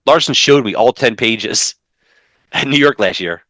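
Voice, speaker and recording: A man in his thirties co-hosting a comics podcast from home over a video call, talking in an animated way with many restarts. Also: medium pitch, no room sound. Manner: animated, talks fast with frequent restarts. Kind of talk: casual chat.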